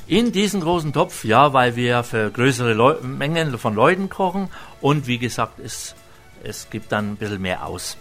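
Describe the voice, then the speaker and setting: A man's voice: medium pitch, big pitch swings, moderate pace, fairly bright tone. Franconian cook guy, homely rambly talk, lots of ums, faint music behind. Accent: Franconian German. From Franconia, Germany. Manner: homely, rambling, many hesitations. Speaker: restaurant chef. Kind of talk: cooking demonstration chat.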